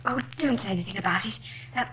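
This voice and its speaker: An actress playing a meek, frail, anxious Victorian lady with a hesitant, breathy delivery in a 1950s radio drama. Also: mid-range voice, fast talker, pushed and bright, close to an everyday voice. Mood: weak, anxious. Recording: hissy, noisy old radio recording.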